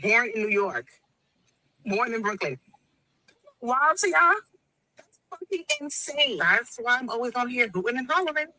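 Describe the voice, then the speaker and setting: A woman's voice: on the high side, huge pitch swings, normal speed, pauses often, pushed and bright, no echo, low-quality recording. A woman with a West Indian accent tells a story in an angry, profane rant and acts out each person in it. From West Indies. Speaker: woman in a played social media clip.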